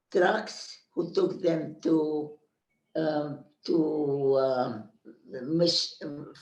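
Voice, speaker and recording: Older woman, oral history witness, slow and halting, roomy distant sound. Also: sits low, a little mellow. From Carpathian Ruthenia. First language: Hungarian. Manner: slow, searching for words with many pauses. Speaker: interviewee. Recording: echoey room, speaker off mic.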